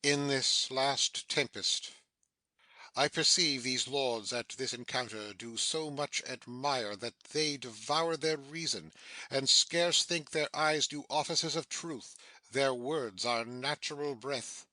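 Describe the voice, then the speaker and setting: A man playing a dignified, commanding older duke in a dramatic Shakespeare audiobook, speaking in a stately, deliberate way. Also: medium pitch, sharp tone, no echo.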